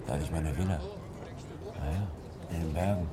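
An actor playing a jovial, boastful prison inmate who chats with irony in a naturalistic radio drama. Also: male voice, very deep voice. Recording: heavy noise behind the voice.

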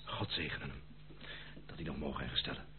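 An actor playing the bitter, resigned, disgraced son of an old general in a radio drama. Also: male voice, very deep voice, a little fast, whispered. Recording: very noisy, muffled old radio play.

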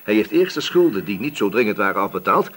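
A stern police inspector in a radio play, with a rough-edged, rather low voice. His delivery is highly animated and probing, insistent and sardonic, with an edge of threat. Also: fast talker, heavily acted. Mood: accusing, forceful. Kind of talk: Dutch radio play dialogue.

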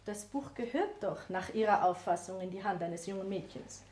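An actress playing a haughty, headstrong young baroness in a 1960s German radio play, with a clipped, imperious, well-bred manner. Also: female voice, medium pitch, moderate pace, crowd noise, close to an everyday voice. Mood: imperious, challenging. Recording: faintly noisy vintage radio recording.

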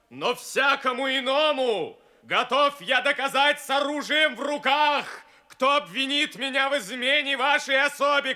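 A proud, loyal knight in a classic radio play. The voice is pitched very high and rings out with piercing brightness. Each courtly phrase is declaimed slowly and solemnly. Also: male voice, full character voice. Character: proud, noble, loyal knight in impassioned defiance. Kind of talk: Soviet-era recorded radio play acting.

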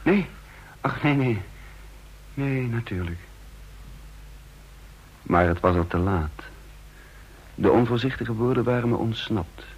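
A man playing a shaken, brooding secret agent who narrates his thoughts in measured, husky tones in a Dutch radio drama. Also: mid-range voice, animated, low-quality recording. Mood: stunned.

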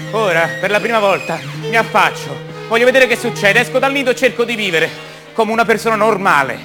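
An actor playing a troubled young colonel's son in a radio monologue, hesitant and defensive, repeating himself as he doubts who he is. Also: high voice. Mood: guarded, hopeful. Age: young adult.

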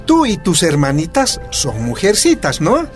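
A loving Bolivian father in a radio soap opera. He is a stubborn union man who reasons gently and slowly, with very lively intonation. Faint music plays underneath. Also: on the high side. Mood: tender, reassuring.

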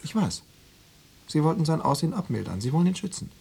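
An actor playing an insinuating Stasi officer in a radio drama, probing and coaxing with cool formality and veiled menace. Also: male voice, fairly high pitch, sing-song, quick pace, vocal fry, mellow, husky, exaggerated voice. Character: insinuating male Stasi officer pressuring an informant. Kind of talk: German radio drama dialogue.

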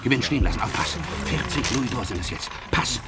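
An actor playing a lovesick, obsessive young gambler in a German radio drama, with urgent theatrical delivery. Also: male voice, mid-range voice, sing-song, brisk, close to an everyday voice. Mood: feverish. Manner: theatrical, urgent, breathless whispers to outbursts. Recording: noisy vintage radio play recording.

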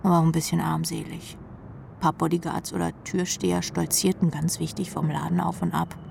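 A woman's voice: lowish voice, little pitch movement, a little fast, creaky, darkish tone, slight background noise. A woman playing a sardonic rock drummer who tells her misadventures in a dry, self-mocking, slangy way as radio drama narration. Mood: deadpan irony. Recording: music under the voice.

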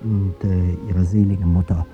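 A man's voice: deep voice, level tone, a little slow. An actor playing an aging, dignified president who hides his heartbreak, speaking with hesitant, formal politeness in a radio drama. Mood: wounded, pointed. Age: older. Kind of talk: 1950s German radio drama acting. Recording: dull 1950s radio recording with music.

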